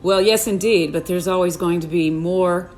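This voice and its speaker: A woman's voice: on the low side, normal speed, darkish tone, soft background music. A woman speaking as an author and co-commentator in casual, enthusiastic conversation.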